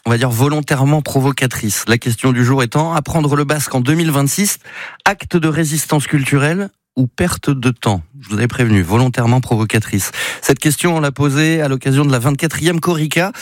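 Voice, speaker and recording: A man's voice: medium pitch, brisk, no echo, studio quality. A man presenting a live radio morning show in a casual, chatty way, full of conversational asides. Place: radio studio.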